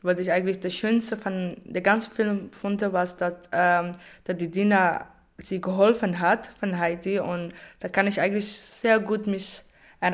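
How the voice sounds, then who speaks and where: A woman with a fairly low voice tells of her own impressions in an interview. She is a non-native speaker, and her phrasing is halting. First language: not German. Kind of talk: personal interview account.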